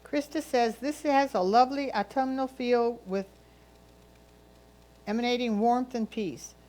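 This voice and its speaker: A woman's voice: a little high, a little slow, a little mellow, low-quality recording. A woman reading a judge's written comments aloud as a critique.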